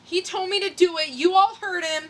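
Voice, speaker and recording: A woman's voice: very high-pitched, fairly even intonation, moderate pace, pitched above the performer's own voice. A woman playing a flustered, foul-mouthed, exasperated rookie worker in an amateur dramatic comic book reading. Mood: panicked protest. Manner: protesting, exasperated.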